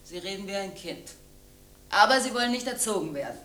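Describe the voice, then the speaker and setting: A woman in a German radio drama playing a firm, patient helper of a drunkard, matter-of-fact and gently scolding in a hushed way. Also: medium pitch, animated, a little fast, close to an everyday voice. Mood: reproving.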